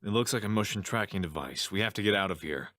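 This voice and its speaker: A man's voice: fairly low pitch, expressive, fast talker, fairly bright tone. A man playing a serious police inspector who narrates his investigation in an audio crime drama. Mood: focused. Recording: music behind the voice.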